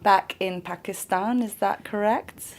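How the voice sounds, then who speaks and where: A woman interviewing guests, asking gentle, prompting questions. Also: mid-range voice, unhurried.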